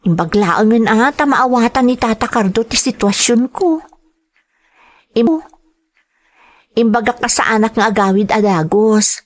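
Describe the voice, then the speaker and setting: Young Ilocano female student, high girlish voice, cheerful, eager, radio drama. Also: lively intonation, unhurried, pauses often. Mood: explaining, eager. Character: cheerful young female student, sheltered rich best friend.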